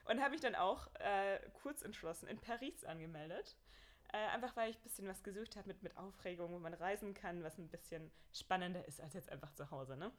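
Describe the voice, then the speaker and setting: Lively young Bavarian woman telling stories. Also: lowish voice, a little fast. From Bavaria, Germany. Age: young adult. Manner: lively, laughs often, storytelling. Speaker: guest, marathon runner. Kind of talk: casual podcast interview.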